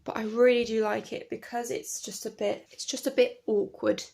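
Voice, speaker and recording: A woman in her late twenties, croaky from a cold, chatting in a rambling, self-correcting way as she talks through her printmaking. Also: a little high, level tone, normal speed, a little mellow. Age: late 20s. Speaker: artist and printmaker. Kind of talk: casual chat over studio work.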